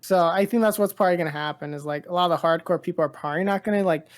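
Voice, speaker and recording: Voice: mid-range voice, racing. A podcast co-host chatting casually about pop culture, rambling and often repeating phrases.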